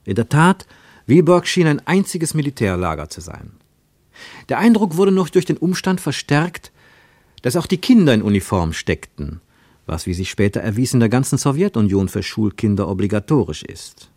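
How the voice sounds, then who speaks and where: A man narrating a literary radio play in a measured, precise, wry storytelling manner. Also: mid-range voice, big pitch swings, a little mellow, no room sound, studio quality. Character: measured, wry male narrator of a travel tale.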